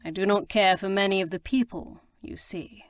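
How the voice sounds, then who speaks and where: A woman's voice: medium pitch, fairly even intonation, moderate pace, no room sound, close to an everyday voice. A woman voicing a sardonic, amused aristocratic man with a dry, languid, teasing manner in an audiobook. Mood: dryly amused. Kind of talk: audiobook character reading.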